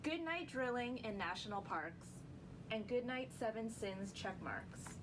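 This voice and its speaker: A woman's voice: animated, a little slow. A woman reading a picture book aloud in a bedtime read-along style. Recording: noisy room with rumble.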